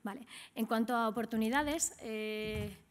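A woman's voice: fairly high pitch, fairly even intonation, normal speed. A middle-aged woman with an accent from Spain, giving a business presentation as a conference speaker in a conversational way, often checking in with short tag questions. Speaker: trade office director, conference speaker. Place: indoor conference stage at a lectern.

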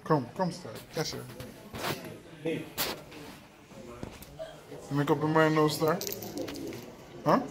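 Slow easygoing Jamaican dad. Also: male voice, fairly high pitch, gravelly, a crowd in the background. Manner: slow, easygoing, coaxing the child. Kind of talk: casual family chat. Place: indoor shopping mall. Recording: music playing behind.

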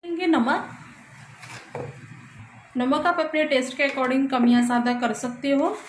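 A woman hosting a cooking channel, calmly narrating a recipe step by step in her home kitchen. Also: high-pitched, unhurried, echoey room, a little hiss or hum. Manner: calm, instructional, repeats "we will add".

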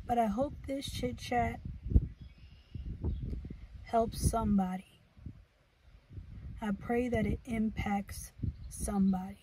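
An American woman in her thirties chatting casually and reflectively in an earnest, halting way, as a vlogger in a car. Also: on the high side, steady tone. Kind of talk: casual, reflective chit chat. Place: inside a parked car. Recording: distant mic, clear background noise.

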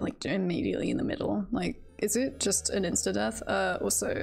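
A woman's voice: medium pitch, gravelly, a little mellow. A woman streamer giving casual live game commentary, thinking aloud and chattily repeating phrases to herself. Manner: chatty, self-talking, often repeats phrases.

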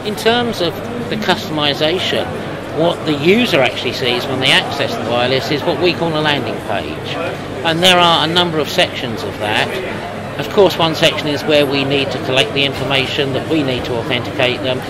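A man in his fifties being interviewed at a trade show, explaining things in a measured, businesslike way. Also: medium pitch, lively intonation. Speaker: company representative being interviewed. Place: busy exhibition hall booth. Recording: loud trade-show crowd noise. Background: loud crowd hubbub.

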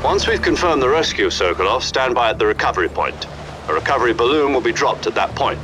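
Male actor as a firm mission commander, mid voice, loud score. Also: medium pitch, big pitch swings, over music, close to an everyday voice. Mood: commanding. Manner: firm, deliberate orders. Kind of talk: dubbed video game cutscene. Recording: game audio under a loud score.

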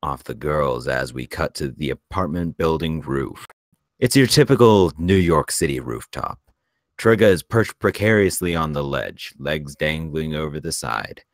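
Very deep-voiced man narrating a script. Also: animated, unhurried, dry recording, studio quality. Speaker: narrator reading stage directions. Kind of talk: scripted narration at a screenplay table read.

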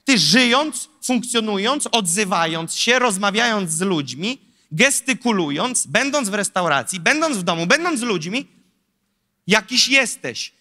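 Animated pastor preaching in a big hall. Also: male voice, high-pitched, measured pace. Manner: animated, gesturing, rhetorical, with lively asides. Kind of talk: sermon. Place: large church stage in front of an audience. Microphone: handheld mic. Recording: long hall reverb.

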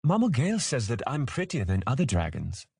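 A man's voice: mid-range voice, wildly swooping pitch, fairly quick, gravelly, darkish tone, slightly rough, dry recording, clean studio sound, pitched above the performer's own voice, full character voice. A man voicing a cheerful, naive young boy dragon hatchling in a fantasy audiobook, with a childlike, eager, chirpy manner.

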